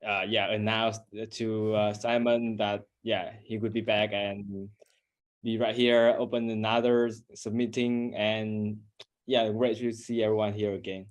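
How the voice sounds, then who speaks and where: Relaxed male group admin. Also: fairly low pitch, measured pace. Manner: relaxed, hesitant, filler-heavy. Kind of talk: casual introduction.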